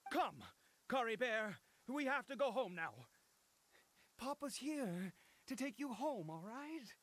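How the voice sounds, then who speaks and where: An actor playing a distraught, obsessive middle-aged father in a video game, with halting, stammering, theatrical delivery. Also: very high voice, very animated, fairly bright tone, exaggerated voice. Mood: pleading. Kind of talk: dramatic game voice acting.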